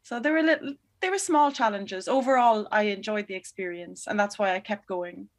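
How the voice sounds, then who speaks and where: A woman film director talks through her answers in an interview. She is thoughtful and a little hesitant, pausing and restarting as she looks for the words, with a voice of ordinary pitch. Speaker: documentary film director, guest.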